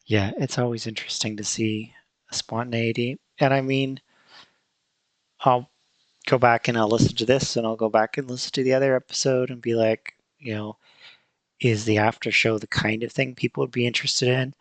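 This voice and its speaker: A man hosting a podcast in casual chat, speaking thoughtfully and hesitantly with many pauses and fillers. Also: mid-range voice, level tone, fairly bright tone, dry recording. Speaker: podcast host.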